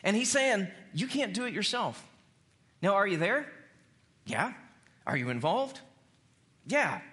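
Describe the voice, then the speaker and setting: A man preaching a conversational sermon as an animated storyteller, repeating phrases for emphasis. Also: high voice, measured pace. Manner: animated storyteller who acts out dialogue in slang.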